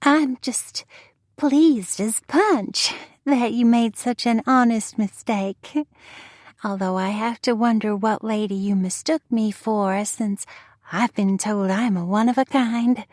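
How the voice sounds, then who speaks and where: A coy spinster with a nasal drawl, pitched high and stretched slow. She gushes and flirts in a storybook reading, dry and close. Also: fairly even intonation, darkish tone, no room sound. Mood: gushing.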